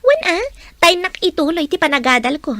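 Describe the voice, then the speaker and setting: Radio drama casting: haughty young city student, played by a woman, high, bright voice, blunt and complaining. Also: big pitch swings, a little fast, no room sound, exaggerated voice. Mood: scornful. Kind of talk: Ilocano audio drama acting.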